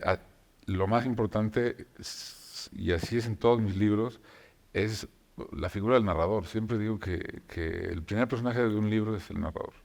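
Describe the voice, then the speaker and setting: A man, a novelist, talking reflectively about writing, with frequent pauses and restarts. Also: low voice, a little mellow. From Mexico. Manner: reflective, many filler hesitations and restarts. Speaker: novelist guest. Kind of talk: conversational talk show discussion.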